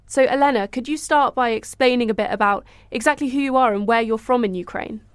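A woman journalist asks a guest brisk, clear questions. Her voice is fairly high, smooth and resonant, and it is recorded close and dry. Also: no room sound. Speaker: journalist, interviewer. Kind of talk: interview questions.

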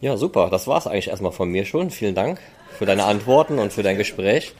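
Low-voiced casual male podcast interviewer. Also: animated, a little fast, a little mellow, faint music behind.

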